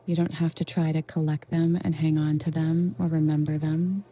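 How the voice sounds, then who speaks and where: A woman leading a guided meditation in a gentle, reassuring, unhurried way with long pauses. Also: deep voice, flat delivery. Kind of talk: soothing guided meditation narration.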